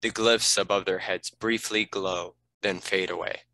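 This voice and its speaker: A man's voice: low-pitched, fairly even intonation, unhurried, bright, forceful tone, dry recording, poor audio. A man narrating the action and stage directions of a script.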